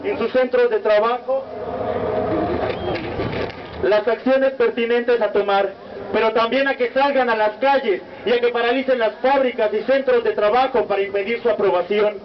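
A young man with a Mexican accent reading a solemn protest speech as a student speaker, in a measured, declamatory cadence. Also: very high-pitched, steady tone. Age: young adult. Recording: muffled lo-fi recording with faint noise.

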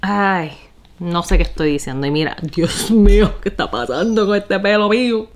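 A woman's voice: mid-range voice, lively intonation, normal speed, a little hiss or hum. A woman in her twenties from Puerto Rico, with a Puerto Rican accent, telling personal stories in casual, slangy talk mixed with English words. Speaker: vlogger. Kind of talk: casual chat, personal storytelling. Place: living room at home.

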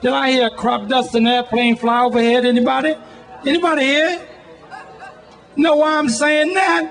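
High, forceful New man in his seventies. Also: level tone, moderate pace, faint music behind, some chatter behind. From Brooklyn, New York. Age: 70s. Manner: militant, folksy, defiant. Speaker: community activist at a rally. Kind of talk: improvised protest speech. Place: outdoor city rally stage. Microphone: handheld microphone.

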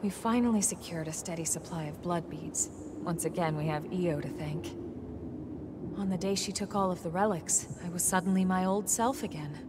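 Low, gentle revenant woman, acted. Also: normal speed, noisy background, natural voice. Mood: grateful, reflective. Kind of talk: video game dialogue. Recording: clear game ambience behind the voice. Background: ambient game sound.

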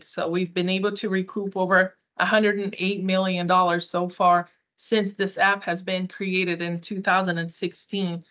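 A woman's voice: medium pitch, fairly bright tone. Woman, portuguese first language, upbeat and earnest, over a video call. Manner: earnest, upbeat, some restarts. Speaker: community outreach coordinator. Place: small room.

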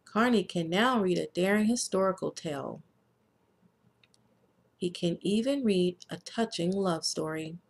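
Female storybook narrator, American, unhurried and expressive read-aloud, mid-range voice with gravelly creak. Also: on the low side. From United States. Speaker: children's author reading own book. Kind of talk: scripted storybook narration for children.

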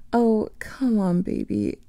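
A young woman voicing a teasing female vampire lover in an ASMR roleplay, whispering softly close to the microphone. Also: mid-range voice, no room sound, exaggerated voice. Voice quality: breathy whisper with vocal fry.

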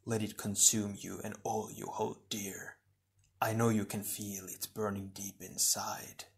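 A menacing shadow creature from a fantasy storybook, acted by a male reader. The voice is low and hushed, hissing and crackling. It taunts slowly, with seductive malice. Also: fairly even intonation. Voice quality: breathy, hissing, crackling whisper. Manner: slow, mocking, seductive menace. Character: sinister shadow double of a fire warrior, taunting, menacing.

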